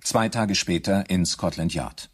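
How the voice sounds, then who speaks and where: An actor as the measured narrator of a German crime radio play, reading scripted narration in a low, husky voice. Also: male voice, a little hiss or hum.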